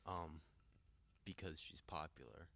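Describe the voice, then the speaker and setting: A teenage boy guest talking in a deadpan, hesitant way in a casual chat. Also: a bass voice, measured pace, vocal fry, a little hiss or hum. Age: teens. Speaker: student guest.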